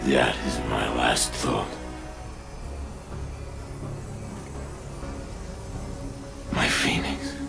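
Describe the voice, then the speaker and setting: An actor playing a noble, devoted robot warrior in a video game, speaking in a halting, formal, dramatic way. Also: male voice, extremely low pitch, little pitch movement, creaky, over music, exaggerated voice. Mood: wistful, grieving.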